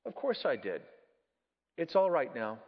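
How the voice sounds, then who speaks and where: Male reader as a redeemed storybook soul, gentle and matter-of-fact, muffled reverberant sound. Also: medium pitch, very animated, unhurried, breathy edge, full character voice. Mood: calm assurance. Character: serene, smiling redeemed male spirit, mirthful and frank. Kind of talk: dramatic storybook reading. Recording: muffled, echoey large hall.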